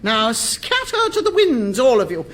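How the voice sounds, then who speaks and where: An actress playing a sharp-tongued, bossy older English mother who runs a tiny airline in a radio sitcom, imperious and sarcastic. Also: fairly high pitch, huge pitch swings, a little slow, slight background noise, heavily acted. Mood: imperious, sardonic.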